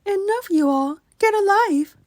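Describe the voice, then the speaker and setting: Woman as very high-voiced, bold little college lad. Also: expressive, a little slow, a little mellow, dry recording, studio quality. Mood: defiant. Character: small, bold, sharp-tongued young male college senior. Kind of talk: amateur radio drama acting.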